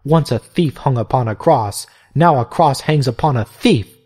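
A man's voice: medium pitch, moderate pace, a little mellow, pitched above the performer's own voice, lightly acted. A man reading aloud, voicing a defiant elderly abbess who speaks with indignant scorn.

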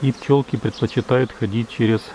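A man from Ukraine, a beekeeper, calmly narrating outdoors at his hives, reading out times and temperatures in a measured, matter-of-fact way. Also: medium pitch, a little hiss or hum, low-quality recording. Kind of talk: calm narration of apiary footage. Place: outdoors at an apiary beside hives. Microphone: camera's built-in mic. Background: buzzing bees.

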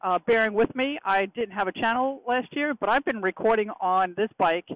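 A woman's voice: medium pitch, moderate pace, a bit bright, no echo, rough recording. A woman talking casually as a motorcycle vlogger, recorded outdoors while riding. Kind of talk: casual vlog narration. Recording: constant wind and road noise.

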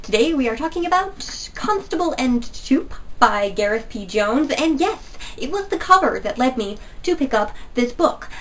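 A woman's voice: sits high, very animated, normal speed, few pauses, a bit bright, distant mic. Stuffy-nosed young woman reviewing a book. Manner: chatty and enthusiastic, gesturing with her hands. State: stuffy nose from a cold. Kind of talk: casual video book review. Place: small bedroom with posters, indoors.

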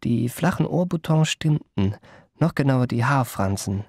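Gravelly, husky worldly memoirist. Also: male voice, mid-range voice, lively intonation, a little slow, darkish tone, dry recording, clean studio sound. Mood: amorous, savouring. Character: worldly, amorous male memoirist recalling his adventures. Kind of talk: literary narration in radio drama.

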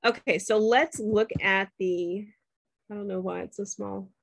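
A woman's voice: mid-range voice, fairly even intonation, a little slow, gravelly, no room sound. Chatty woman teaching a class. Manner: conversational, checks in with students. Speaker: professor. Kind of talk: screen-share class demo.

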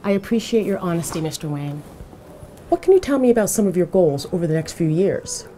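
A woman's voice: fairly low pitch, big pitch swings, fairly quick, dark tone, a little hiss or hum. A middle-aged woman playing a poised, courteous bank manager who interviews a job applicant in an office, speaking politely and formally in a scripted dialogue. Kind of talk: scripted dialogue for language learners.

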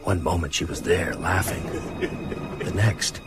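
First-person narrator, grave and deep, tense measured radio drama storytelling over loud music. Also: male voice. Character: grave male survivor narrating his horror story.

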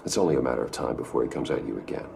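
A man acting a brooding billionaire vigilante in a video game, terse, controlled and intense, with a deep gravelly voice. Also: expressive, racing, darkish tone, full character voice. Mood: firm, pressing. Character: brooding adult male billionaire vigilante, guarded and commanding.

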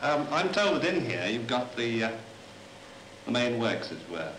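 A man's voice: medium pitch, big pitch swings, normal speed. British man reporting, echoey vintage newsreel. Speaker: television reporter. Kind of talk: stiff 1950s newsreel interview. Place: transmitter station control room. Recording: noisy, echoing 1950s film soundtrack.

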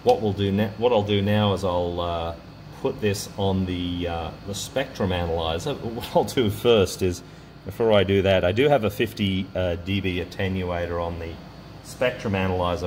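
A man with a low-pitched voice talks through a hands-on electronics demonstration at his workbench. His delivery is casual and halting, as if thinking aloud while he works. A steady noise is clearly audible behind him. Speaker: electronics hobbyist presenter.

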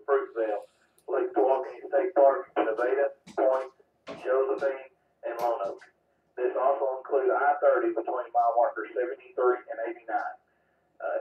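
Muffled radio transmission, American man, medium pitch, formal bulletin reading, faint noise, net control operator. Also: recorded from a distance. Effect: two-way radio. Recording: muffled, noisy, echoey radio from afar.

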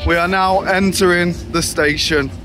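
A man's voice: high voice, steady tone, moderate pace, over music. A young man giving a casual, enthusiastic vlog tour outdoors at a theme park, recorded on a handheld camera. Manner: chatty, enthusiastic tour guiding.

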